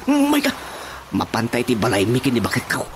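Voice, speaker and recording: A man acting an excitable, stuttering old country cousin in an Ilocano radio comedy drama, with ambient noise behind him. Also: mid-range voice, brisk. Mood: agreeable. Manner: stuttering, exclaiming.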